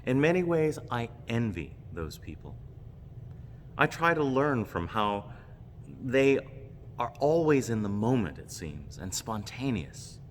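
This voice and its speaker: A man giving a reflective homily with personal stories, speaking in measured, deliberate phrases. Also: mid-range voice, big pitch swings. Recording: long reverb, background noise.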